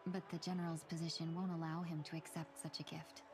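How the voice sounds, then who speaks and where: A woman's voice: low-pitched, flat delivery, fairly quick, background noise. A woman playing a refined, courteous young woman in a video game, speaking politely and formally with composure. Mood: gracious, pleased.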